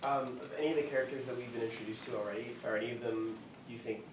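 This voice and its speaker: A man's voice: medium pitch, fairly even intonation, fast talker. A man in the audience, chatting casually and asking questions. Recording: distant, echoey room with clear noise.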